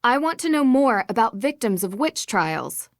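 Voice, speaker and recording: A woman's voice: fairly high pitch, big pitch swings, normal speed, no echo, clean studio sound. An actress playing a polite, inquisitive young woman detective in a video game, courteous and questioning. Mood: polite, curious.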